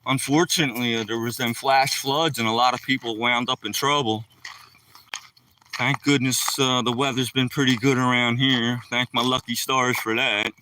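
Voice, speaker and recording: A man's voice: mid-range voice, bright, forceful tone, recorded from a distance, slight background noise, rough recording. Rambling Philadelphia man on a phone stream. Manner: rambling, talks to viewers directly. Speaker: live streamer. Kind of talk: casual live stream chat. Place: outdoors by a rural lake. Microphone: phone held while walking.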